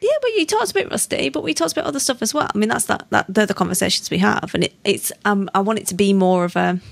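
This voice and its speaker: A woman's voice: medium pitch, expressive, quick pace, gravelly. A woman in her thirties hosting a podcast, chatty and playful in casual conversation. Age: 30s. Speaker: podcast host. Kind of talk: casual chat.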